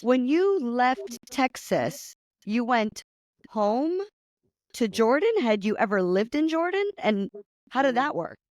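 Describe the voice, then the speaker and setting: A woman's voice: on the high side, sing-song, takes it slowly, no echo, studio quality. A woman hosting an interview, prompting her guest with brief, curious questions. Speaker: interviewer host. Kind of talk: conversational interview.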